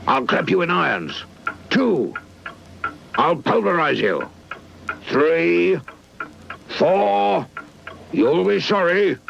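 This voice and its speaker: A man's voice: sits high, sing-song, very slow, gravelly, long pauses, a little hiss or hum, voice pushed up, full character voice. A British man voicing a bossy, pompous, growling toy tyrant in a children's puppet story. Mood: furious, threatening. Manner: demanding, shouty, pompous. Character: blustering, bossy, big-headed male toy tyrant. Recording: dull lo-fi VHS tape transfer.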